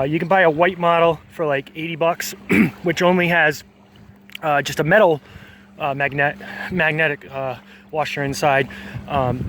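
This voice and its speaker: A man's voice: on the high side, a bit bright, a little hiss or hum. A man, vlogging outdoors on a beach in a rambling, hesitant way, full of fillers and self-corrections. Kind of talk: casual walking vlog monologue.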